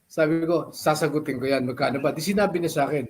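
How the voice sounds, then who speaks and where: A man's voice: a little high, rapid-fire, a little mellow, slight background noise. An older man with a Filipino accent, a radio veteran reminiscing casually in a mix of Tagalog and English, retelling conversations and repeating phrases. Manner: conversational storytelling with repeated phrases and acted-out quotes.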